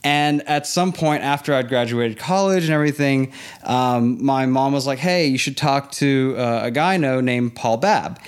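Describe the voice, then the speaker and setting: A man's voice: medium pitch, moderate pace, clear, resonant tone. A man who grew up in Hong Kong, telling a casual story as an interview guest and acting out the dialogue. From born in Los Angeles, grew up in Hong Kong. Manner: animated retelling with quoted dialogue. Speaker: interview guest, theme park industry professional.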